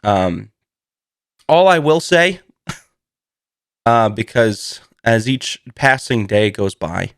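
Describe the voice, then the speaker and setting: Male podcast host, lowish voice with a slight post-cold hoarseness, chatty and meandering, recorded close and dry. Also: animated, drawn-out words, pauses often, a bit bright, dry recording. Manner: rambling, self-deprecating, laughs through his words. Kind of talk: casual solo podcast chat.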